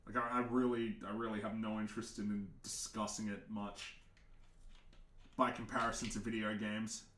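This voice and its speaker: Deep-voiced young man rambling on stream. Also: flat delivery, normal speed, fairly bright tone, echoey room, a little hiss or hum. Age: young adult. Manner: opinionated, frank, swears, frequent restarts; laughs often. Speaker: livestream host answering chat. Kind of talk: casual livestream ramble.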